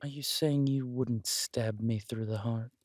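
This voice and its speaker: A man's voice: mid-range voice, steady tone, a little slow, darkish tone, no room sound, studio quality, lightly acted. A man playing a villainous conqueror king in a romantic roleplay audio, smug and flirtatious, teasing and haughty as he draws out his words.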